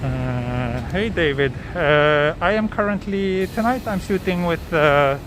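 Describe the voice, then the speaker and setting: A man from southern Sweden chatting casually with viewers during a live walk, relaxed, with many ums and restarts. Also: fairly high pitch, slow. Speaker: live-streaming walk vlogger. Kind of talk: casual live-stream chat answering viewers. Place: outdoors on city streets at night. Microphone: handheld phone camera. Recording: street noise behind the voice. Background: traffic and street ambience.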